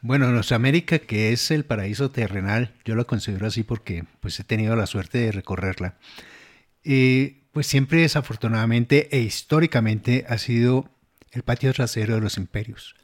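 A man's voice: mid-range voice, normal speed. A man hosting a radio interview program who asks long, earnest, winding questions. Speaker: radio host.

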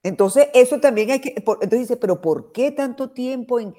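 A woman's voice: on the high side, lively intonation, moderate pace, warm tone. A Venezuelan woman in her sixties, a biologist, explaining things in a thoughtful, searching way as a radio interview guest. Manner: thoughtful, explanatory, with many restarts and "o sea" asides.

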